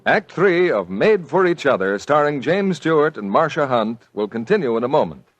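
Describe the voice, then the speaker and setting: An American man announcing and pitching a product in polished, scripted 1940s radio style, with a husky voice. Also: very animated, moderate pace, faint music behind. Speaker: announcer and ad spokesman.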